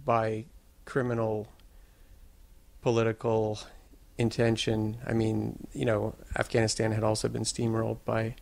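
A filmmaker guest from the United States talks in slow, reflective sentences. His low voice stays fairly flat in pitch. A gravelly edge runs through his speech. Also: no room sound. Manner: measured, thoughtful, slow-building sentences. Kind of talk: reflective interview answers.

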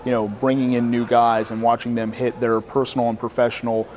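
A man's voice: medium pitch, level tone, brisk, darkish tone. A man in his thirties explaining his business informally in a conversational interview. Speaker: roofing company co-owner being interviewed. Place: large hotel-style lounge, indoors. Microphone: lapel mic.

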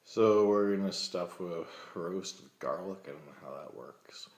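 A man playing a slow, deep-voiced naive guy. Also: darkish tone. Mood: rambling. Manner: rambling, earnest and awkward, with nervous laughs. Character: naive milk-loving vegetarian young man. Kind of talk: comedic webcam monologue. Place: indoor room at a table. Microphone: laptop webcam, close. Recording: echoey room sound.

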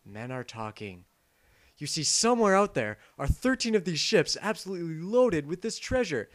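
A man's voice: a little high, sing-song, moderate pace. A man voicing a cocky, crude, reluctant adventure hero in an amateur parody game dub, with casual comic delivery. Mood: self-important.

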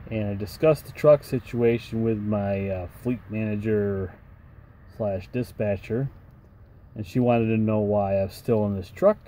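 A man's voice: on the low side, dark tone. A man in his forties, a truck driver vlogging in a casual, wry and grumbling way from his cab, tired after a long shift. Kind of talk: casual vlog chat. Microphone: camera at arm's length.

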